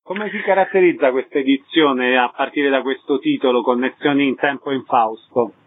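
A man's voice: medium pitch, normal speed, no echo. A man hosting a radio interview, introducing the guest and putting questions to him. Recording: narrow-band, phone-like radio sound.

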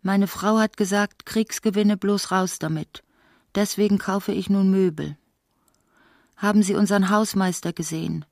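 A woman narrating a literary radio play in a measured, articulate way, voicing its long speeches. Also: mid-range voice, steady tone, darkish tone, dry recording, clean studio sound, close to an everyday voice. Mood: measured narration.

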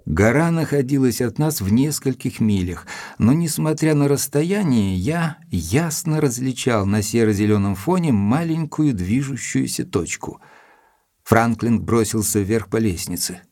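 An actor playing a courteous, inquisitive English gentleman doctor in an audio drama, speaking in a measured, polite, articulate way. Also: mid-range voice, lively intonation, no room sound, clean studio sound. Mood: narrating. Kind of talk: audio drama dialogue and narration.

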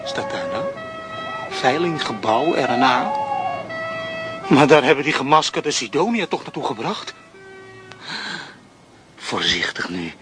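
A man's voice: medium pitch, big pitch swings, a little slow, long pauses, loud music behind the voice. An actor playing a proud, self-pitying male street dog who calls himself a detective, in a children's radio play. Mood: sneaking. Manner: theatrical, self-pitying and boastful.

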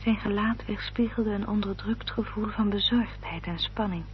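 A woman's voice: medium pitch, fairly even intonation, normal speed, close to an everyday voice. A woman playing a devoted, protective sister in a radio drama, speaking in a formal, literary and carefully articulated way. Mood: grave, anxious. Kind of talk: Dutch radio drama acting and narration. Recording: dull, noisy old radio recording with hum.

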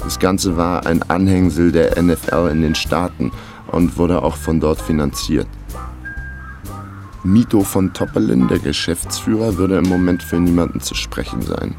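A man's voice: deep voice, normal speed, vocal fry, music in the background. An actor playing a laconic investigator who narrates his own case dryly in a radio crime drama. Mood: dry, matter-of-fact. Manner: dry, laconic. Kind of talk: scripted first-person radio drama narration.

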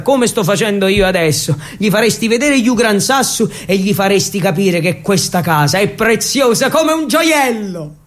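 A man playing a proud provincial father with an Abruzzese accent, insistent and colloquial in a theatre monologue. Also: high-pitched. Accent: central Italian, Abruzzese. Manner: colloquial, reproachful, insistent persuading. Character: proud provincial father attached to his town and house.

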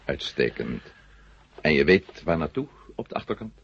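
An actor playing a secretive, controlled astronaut conspirator in a radio play, husky and gravelly, with a measured, authoritative manner. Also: male voice, a bass voice, sing-song, full character voice. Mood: probing. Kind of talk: 1970 Dutch radio play acting. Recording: dull, muffled old radio recording.

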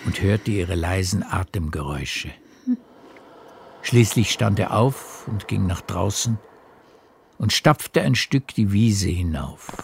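A man narrating a radio play as a literary storyteller, with measured, deliberate phrasing. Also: fairly low pitch, vocal fry, darkish tone, a little hiss or hum.

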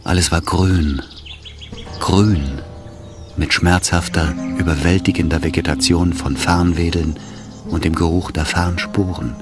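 A man's voice: low-pitched, fairly even intonation, a little slow. A man reading scripted literary narration for a radio drama. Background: music.

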